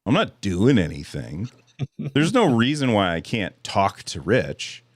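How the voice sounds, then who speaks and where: A man hosting a live podcast in casual chat, a little hoarse, rambling and self-deprecating with frequent restarts. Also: fairly low pitch, sing-song, a little slow, no echo. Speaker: podcast host.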